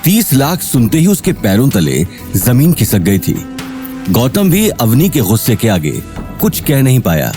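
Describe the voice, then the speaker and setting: उतार-चढ़ाव भरी आवाज़ वाला पुरुष कथावाचक. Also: male voice, mid-range voice, huge pitch swings, unhurried, over music. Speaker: narrator. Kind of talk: dramatic scripted story narration.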